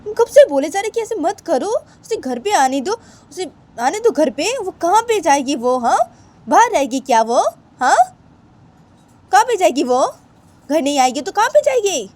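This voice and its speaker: A woman's voice: sits high, sing-song, moderate pace, darkish tone, pitched above the performer's own voice. A woman voicing an angry, scolding grandmother in a story, pressing insistent, repetitive questions. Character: scolding, angry grandmother berating her daughter-in-law.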